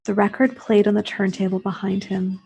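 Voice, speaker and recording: A woman's voice: medium pitch, little pitch movement, normal speed, vocal fry, mellow, dull audio, soft background music. A woman reading a literary short story aloud in the first person, as a scripted narration.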